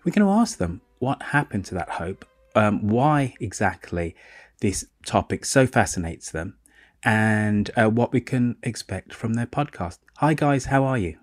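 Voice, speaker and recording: A man's voice: on the low side, very animated, unhurried, no echo, studio quality. A man hosting a podcast interview, chatty and self-deprecating, with rambling questions. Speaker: podcast host. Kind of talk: podcast interview over a remote call.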